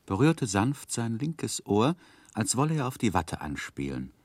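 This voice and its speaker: A man recounting his life in a 1960s literary radio play. His voice is low and moves expressively up and down. The delivery is measured and gently wry, recorded close and dry. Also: very animated, dry recording. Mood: wry, descriptive. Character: wry grown man narrating his own life story. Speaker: narrator. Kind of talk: scripted literary narration in 1960s radio drama.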